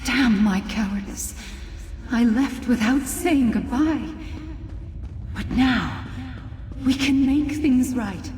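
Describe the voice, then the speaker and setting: A woman voicing a sorrowful, regretful ghostly mother in a fantasy game, speaking in a mournful, deliberate way. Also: on the high side, darkish tone, roomy sound, big-room sound. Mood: regretful, resolved. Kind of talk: dramatic fantasy game dialogue.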